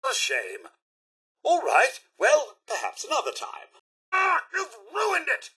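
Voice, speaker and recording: A prim British robot butler from a video game, high-pitched and wildly swooping in melody. The voice is bright and forceful, and it carries itself with pompous politeness and a sardonic streak. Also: male voice, wildly swooping pitch, slow, full character voice. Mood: indignant. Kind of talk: theatrical video game character lines.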